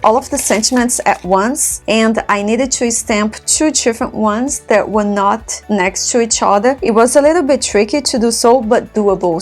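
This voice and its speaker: A woman whose first language is Portuguese, calmly narrating a step-by-step card-making tutorial with detailed explanations. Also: medium pitch.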